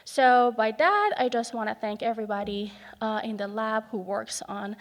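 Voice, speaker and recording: A woman presenting her gene therapy research at an expert panel, explaining slowly and carefully with frequent pauses and fillers. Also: fairly high pitch. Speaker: research grant speaker. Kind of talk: scientific panel talk. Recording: reverberant conference hall.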